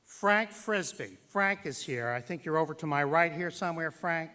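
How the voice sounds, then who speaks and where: A man giving a formal tribute speech as master of ceremonies at a banquet, speaking in a deliberate, emphatic way with dramatic pauses. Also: fairly high pitch, animated, sharp tone.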